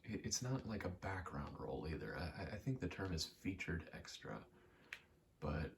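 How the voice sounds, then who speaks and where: A man's voice: low-pitched, sing-song, moderate pace, gravelly, noisy background. A young man giving a casual, meandering ASMR ramble while gaming, often interrupting himself in an understated way. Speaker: ASMR creator.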